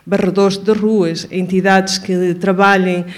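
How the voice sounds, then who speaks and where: Female audience member at a public forum, native Spanish speaker with a Venezuelan accent, medium pitch, earnest and wordy, formal delivery. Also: flat delivery, slow pace. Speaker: audience member asking a question, administrative worker. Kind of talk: spoken question at a public forum.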